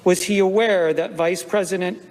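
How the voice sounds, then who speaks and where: A man's voice: high voice, fairly even intonation, normal speed, darkish tone. Formal man in an echoing chamber. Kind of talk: formal read-aloud. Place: large legislative chamber.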